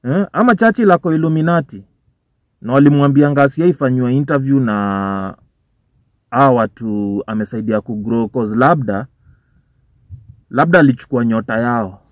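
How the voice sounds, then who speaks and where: A man's voice: mid-range voice, normal speed, long pauses, no echo. Kenyan man ranting in a car. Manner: informal, swearing, switching between English and Swahili slang. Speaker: vlogger. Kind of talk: casual commentary to camera. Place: parked car, indoors. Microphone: phone or camera on the dashboard. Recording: dull, dry car recording.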